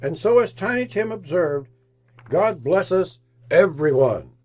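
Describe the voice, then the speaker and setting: A man's voice: sits high, sing-song, a little slow, a little mellow, faint music behind. A man narrating and announcing a scripted old-time radio drama with theatrical storytelling and dramatic emphasis.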